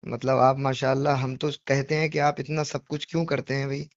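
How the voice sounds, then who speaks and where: A man's voice: mid-range voice, flat delivery, fairly quick, no echo. A man on a recorded phone call, speaking earnestly and apologetically, explaining himself insistently with repetitions and rhetorical questions. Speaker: devotional poetry reciter on a phone call.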